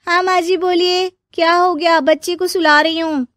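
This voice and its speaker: A young, overworked daughter-in-law played by a woman in a cartoon moral story. Her voice is very high and sounds close and dry. She talks in a chatty, exclaiming, homely way. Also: fairly even intonation, moderate pace, fairly bright tone, no room sound. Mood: attentive. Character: young overworked daughter-in-law, eager then weary and grumbling.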